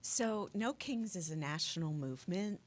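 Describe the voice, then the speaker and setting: A woman speaking as an organizer in an unscripted radio interview, explaining earnestly and haltingly. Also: lowish voice, animated. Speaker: activist organizer, interview guest.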